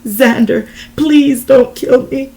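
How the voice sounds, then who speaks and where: A woman acting out a terrified high-pitched woman pleading. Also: slow, vocal fry, warm tone, full character voice. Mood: desperate pleading. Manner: crying out, pleading. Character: terrified woman begging for her life. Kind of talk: dramatic audiobook reading.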